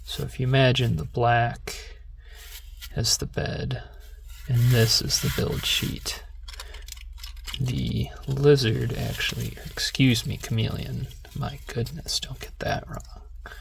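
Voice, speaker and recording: A soft-spoken ASMR host talking gently and without hurry, drifting into a whisper, close to the microphone. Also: mid-range voice, flat delivery, slow pace, vocal fry, a little hiss or hum. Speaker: ASMR video creator and host.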